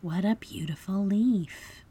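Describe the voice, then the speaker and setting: A woman voicing a curious, excitable young fairy in a storybook, with wide-eyed, exclamatory delivery. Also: medium pitch, slow, breathy edge. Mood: eager, admiring.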